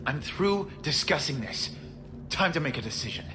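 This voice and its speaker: An actor playing a quippy, sarcastic young masked superhero in a video game, with scripted delivery. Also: male voice, fairly high pitch, expressive, normal speed, background noise, lightly acted. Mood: determined. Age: young adult. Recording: loud music behind the voice.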